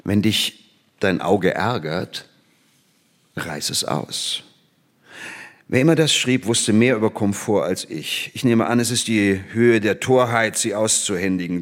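An actor playing an old, half-blind captive scientist in a stage drama, speaking in deliberate, ironic, measured phrases. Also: male voice, on the low side, close to an everyday voice.

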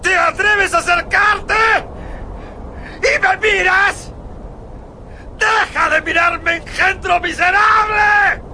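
A horrified, furious creator in a radio drama. The voice is pushed extremely high into piercing, gravelly shouts. Curses are stretched out slowly over a faint rumble. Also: male voice, steady tone, full character voice. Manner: screaming curses, drawn out. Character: horrified creator enraged at his monstrous creation. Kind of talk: Spanish-language radio drama acting.

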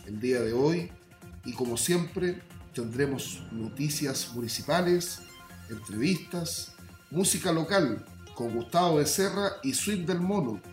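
A man's voice: a little high, lively intonation, unhurried. A man with a Chilean accent presenting a scripted radio news bulletin. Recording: roomy echo, music bed behind. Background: music.

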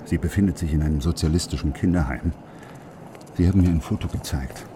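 An actor playing a secretive, worried brain surgeon father who speaks in an urgent, hushed, pleading way. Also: a bass voice, fast talker, noisy background.

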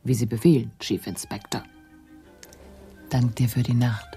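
A self-assured, teasing female detective's partner in a crime radio play. Her voice is deep for a woman and mellow, with a coy, unhurried delivery. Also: fairly even intonation, faint music behind. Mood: teasing, flirtatious.

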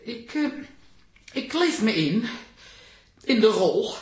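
A woman's voice: a little high, sing-song, slow, a little mellow, roomy sound. A woman acting in a Dutch radio comedy as a vain, gushing aunt who fancies herself a great actress. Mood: flustered. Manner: dramatic, gushing. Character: theatrical, vain aspiring amateur actress auntie.

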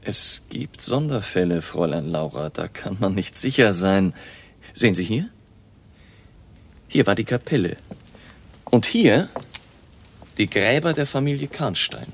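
An actor playing a grave, learned baron who researches vampires in a radio drama, with a gravelly voice and a measured, ominous manner. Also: male voice, fairly low pitch, animated, slight background noise. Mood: grave, matter-of-fact.

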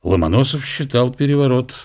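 A man's voice: medium pitch, expressive, a little fast, a little mellow. A man reading reflective scripted narration of a character's thoughts in a historical radio drama.

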